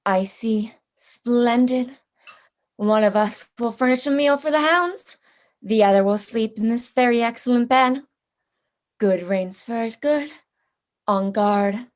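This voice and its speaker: An actress playing a genteel, cold-blooded general who hunts for sport, speaking with theatrical, smug courtesy in a radio drama. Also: female voice, fairly high pitch, slow pace. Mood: courteous menace. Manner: theatrical, leisurely, smugly courteous.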